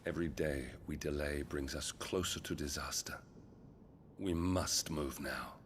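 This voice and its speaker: An actor playing a weary, commanding middle-aged duke with a British accent, speaking in a measured way in a fantasy game. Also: male voice, a bass voice, a bit bright, a little hiss or hum. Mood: grave, resolute. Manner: measured, authoritative. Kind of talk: dramatic fantasy game acting.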